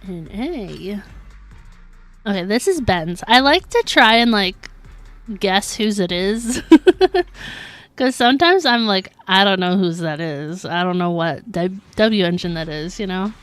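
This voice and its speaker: A woman's voice: medium pitch, lively intonation, a little slow, fairly bright tone, faint music behind. A woman livestreaming a game, talking casually in a chatty, giggly, informal way. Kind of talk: casual live gaming commentary.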